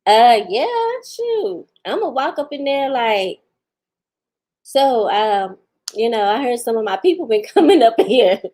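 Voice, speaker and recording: A woman's voice: fairly high pitch, big pitch swings, a little slow, a little mellow. A woman, chatting casually with viewers on a live stream, laughing often and talking back to them. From Houston, Texas. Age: 40s. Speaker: vlogger answering live chat.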